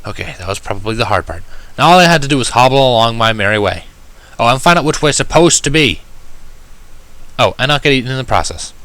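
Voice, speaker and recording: Bright-voiced man narrating a story. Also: medium pitch, animated, quick pace, no echo. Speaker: audiobook reader of fan fiction. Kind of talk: first-person story narration.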